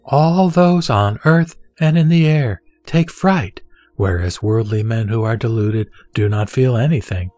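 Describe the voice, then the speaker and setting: A man reading the words of the Buddha as a calm, wise teacher, in a measured and solemn scripted recitation. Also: mid-range voice, no room sound, soft background music, natural voice.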